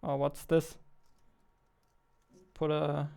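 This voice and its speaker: A man's voice: fairly high pitch, completely flat delivery, drawn-out words, gravelly, dark tone, clean tone. A man in his twenties chatting casually as he live-codes, muttering to himself and repeating words. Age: 20s. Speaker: game developer and streamer. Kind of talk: casual live coding chat. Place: small indoor room at a computer. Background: keyboard typing and clicking, faint music.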